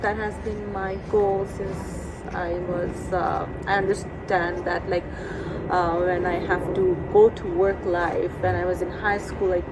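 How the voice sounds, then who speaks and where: A woman's voice: lowish voice, flat delivery, a little slow. Young woman from Bangladesh, noisy room. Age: young adult. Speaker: interviewee. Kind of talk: casual interview chat.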